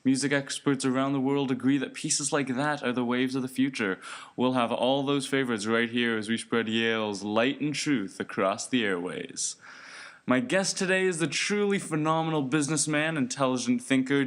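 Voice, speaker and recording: A man's voice: medium pitch, fairly bright tone, close to an everyday voice. A man playing a chummy, pushy 1920s college radio host with showy, folksy announcer patter. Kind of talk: 1920s radio acting, scripted hosting.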